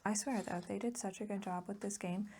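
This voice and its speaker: A woman speaking softly in an ASMR chat, often slipping into a breathy whisper, rambling affectionately and gushing about what she likes. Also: steady tone, brisk. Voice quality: soft, breathy whispering mixed with low voiced murmur. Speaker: host of a hobby ASMR channel. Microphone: close mic, recorded as voice-over.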